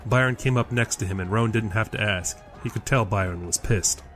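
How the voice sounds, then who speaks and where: A man's voice: fairly low pitch, fairly quick, a bit bright, faint music behind. A man reading scripted narration for a fantasy audio drama.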